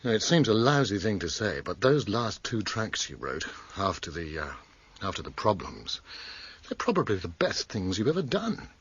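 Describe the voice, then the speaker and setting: A successful British friend in a radio play, candid and a little hesitant. His low voice moves expressively in conversational phrases. The recording is muffled, with some noise. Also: very animated, moderate pace. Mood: awkward, admiring. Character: successful married man, candid, influential old friend. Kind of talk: radio drama acting.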